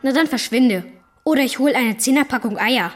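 A woman's voice: high voice, moderate pace, faint music behind. A woman voicing a cheeky, bold young boy in an audio story.

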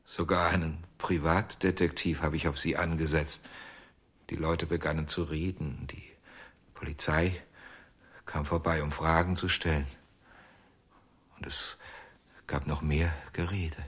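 An actor playing a haunted, obsessive ventriloquist in a German radio drama, speaking hesitantly with many pauses. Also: male voice, a bass voice, flat delivery, fairly bright tone, slightly rough, full character voice. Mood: wistful. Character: haunted, obsessive male ventriloquist, middle-aged. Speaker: ventriloquist under questioning.

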